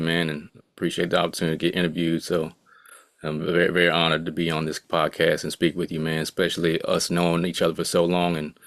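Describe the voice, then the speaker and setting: A man's voice: low voice, fairly bright tone, no echo, studio quality. A man in his late thirties, a music producer speaking as an interview guest in a laid-back, rambling way full of asides. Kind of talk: casual interview talk.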